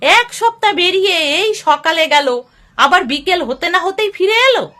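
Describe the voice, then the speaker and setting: A woman acts a grumbling older father-in-law in a storybook tale. He exclaims indignantly in a high, sharp voice. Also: animated, a little slow, full character voice.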